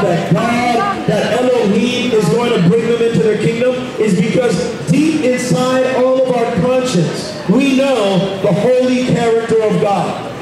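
A man in his thirties preaching in the open air on a city street at night into a handheld microphone, often raising his voice to a shout. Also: very high-pitched, reverberant, low-quality recording. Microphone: handheld microphone through a street speaker.

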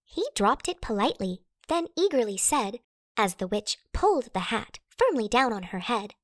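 A woman reads a rhyming children's story in a fairly high voice. Her delivery is very animated and dramatic, recorded close and dry. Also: moderate pace, dry recording. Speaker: storybook narrator. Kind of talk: expressive children's read-aloud in rhyme.

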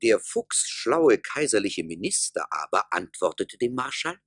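Male narrator for fairy-tale radio play: lowish, husky, measured, wryly expressive, dry sound. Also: dry recording. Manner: measured, wry, expressive storyteller.

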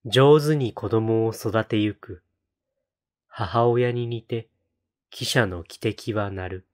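A man reciting poetry in a solemn style, with measured, deliberate phrasing and pauses between lines. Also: fairly low pitch, a little mellow. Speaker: poetry reader on a read-aloud channel.

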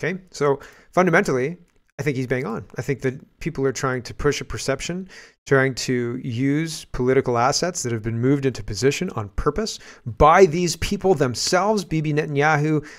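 A male podcast host talks into a large studio mic in a dark home studio. He rambles conversationally, starting over and correcting himself as he reacts to clips. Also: medium pitch, normal speed. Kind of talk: commentary on clips. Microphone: large studio mic on boom.

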